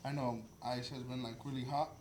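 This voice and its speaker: A man's voice: medium pitch, level tone, a little fast. Echoey young man in casual podcast chat. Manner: informal, swearing, hesitant phrasing. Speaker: podcast host. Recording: echoey room, faint background noise.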